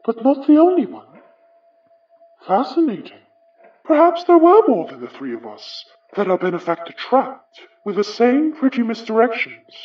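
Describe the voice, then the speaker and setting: An actor playing the summoned remnant of an English gentleman, wry, wistful and formal, with a British accent, in an audio horror drama. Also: medium pitch, sing-song, recorded from a distance, muffled recording, close to an everyday voice. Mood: wry, musing. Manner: measured, formal, musing, ornate phrasing.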